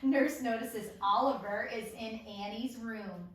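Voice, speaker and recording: A woman's voice: on the high side, measured pace, fairly bright tone. A woman informally narrating stage directions as the leader of a script table read. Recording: echoey room, distant mic, faint music.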